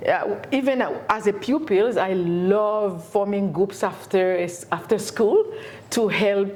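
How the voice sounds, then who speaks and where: A middle-aged woman from Haiti, an education professor, answering interview questions in a halting way with many fillers and repeated words. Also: medium pitch, darkish tone. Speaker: education professor and community leader.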